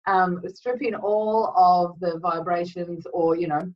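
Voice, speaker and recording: A woman energy healer hosting a live webinar with a slightly croaky throat, chatting casually with lots of ums and greeting viewers by name. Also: fairly low pitch, fairly even intonation, takes it slowly. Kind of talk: casual live-stream talk. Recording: distant, dull lo-fi webinar audio.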